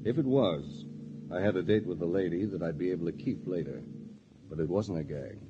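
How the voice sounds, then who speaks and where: A man's voice: low voice, brisk, close to an everyday voice. A man playing a dry, urbane adventurer in a 1940s radio drama. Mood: wry. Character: wry, worldly male adventurer, former lawyer, narrating. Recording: muffled old radio, crowd noise behind.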